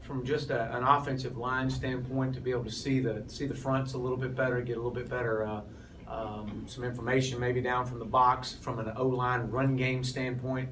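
A man's voice: mid-range voice, little pitch movement, brisk, a bit bright. A man in his forties, a head football coach, answering questions at a postgame press conference with many restarts and fillers. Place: press room, in front of a sponsor backdrop. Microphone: cluster of press mics on a table, heard from off. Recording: distant voice, noisy room.

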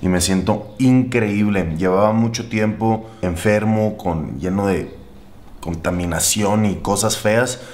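A man's voice: fairly low pitch, slow, darkish tone. A young man with a Mexican accent, talking casually to a handheld camera as he walks around the city. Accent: Mexican Spanish. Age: young adult. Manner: chatty, talking while walking with a handheld camera. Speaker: vlogger. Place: city streets and shops outdoors. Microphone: handheld camera at arm's length. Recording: outdoor street noise.

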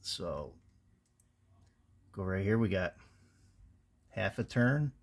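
A man with a somewhat low voice talks through engine parts in a plain, technical way, with ums and restarts. He sounds like a casual garage how-to, recorded close on a handheld phone. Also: expressive, very slow. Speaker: home mechanic. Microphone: handheld phone camera close over engine.

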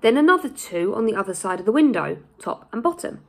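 Higher-voiced woman reading a storybook, slightly husky. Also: big pitch swings, quick pace. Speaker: primary school teacher reading aloud. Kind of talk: children's storybook narration. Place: small plain room indoors.

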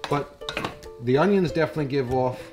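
A middle-aged man talks casually through a cooking demonstration in a home kitchen. He drops chatty, wisecracking asides as he goes. Guitar music plays clearly behind his voice. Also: medium pitch, fairly quick. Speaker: home cooking show host.

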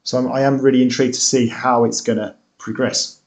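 A British man in his thirties hosting a music reaction video from a small room at home, relaxed and hesitant, with many ums. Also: mid-range voice, fairly quick, noisy background. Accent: British English. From Britain. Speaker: reaction channel host. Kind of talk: casual reaction chat.

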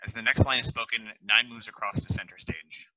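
A man's voice: medium pitch, fairly even intonation, a little fast, pushed and bright, dry recording. A man reading stage directions plainly on a video call through a headset. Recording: dull lo-fi call audio.

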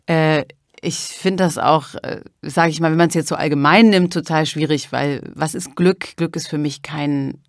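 Woman, low voice, dry close sound, reflective interview answers, halting phrasing. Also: steady tone, no echo. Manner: thoughtful, halting, searching for words. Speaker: interviewed audiobook narrator.

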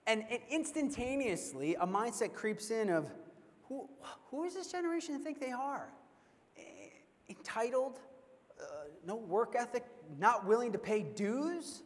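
A man in his thirties giving an energetic keynote talk on a large convention stage, speaking into a headset mic and acting out quoted reactions. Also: extremely high pitch, a little slow. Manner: animated, acts out quoted reactions, repeats words when excited. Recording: long hall reverb.

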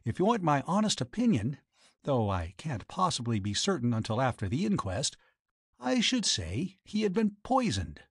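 A man's voice: mid-range voice, wildly swooping pitch, normal speed, slightly rough, dry recording, clean studio sound, full character voice. A man voicing a young doctor in an audiobook story, frank, puzzled and emphatic. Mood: resentful, baffled. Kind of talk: audiobook character voice.

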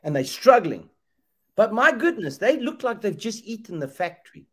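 A man's voice: sits high, big pitch swings, normal speed, fairly bright tone. A middle-aged man from Zambia, speaking with preacher's emphasis on a video call, repeating phrases and asking rhetorical questions. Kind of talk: impassioned preaching talk. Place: indoor room, video call. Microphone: webcam video call.

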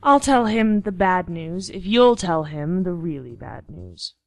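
A woman's voice: lowish voice, lively intonation, a little slow, gravelly, darkish tone, no echo, soft background music. An actress playing a cool, challenging young woman in a radio play, direct and probing. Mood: measured.

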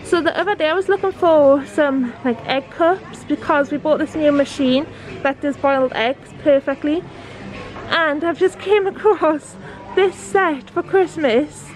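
A woman's voice: sits high, unhurried, rough recording. A woman in her thirties from Newcastle with a Geordie accent, vlogging a shopping trip and chatting eagerly about prices and finds. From Newcastle upon Tyne, England. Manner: chatty, enthusiastic, reads out prices. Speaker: family vlogger. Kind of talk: casual shop-with-me vlog. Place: large indoor discount store. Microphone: handheld camera. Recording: shop music and crowd noise behind. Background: store music and shoppers.

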